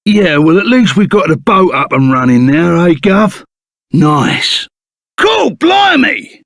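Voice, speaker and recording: Animated Cockney bandit sidekick, creaky voice. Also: male voice, high voice, takes it slowly, no room sound, studio quality, heavily acted. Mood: astonished. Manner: rough colloquial slang, blunt and emphatic. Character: gruff, loyal reformed bandit sidekick, plain-spoken and excitable. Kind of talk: video game character voice acting.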